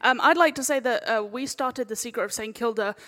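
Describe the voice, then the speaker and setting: A woman's voice: a little high, fairly quick, fairly bright tone. A woman on a live panel, breezy and quippy in casual banter. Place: live panel in a reverberant room. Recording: echoey hall with long reverb.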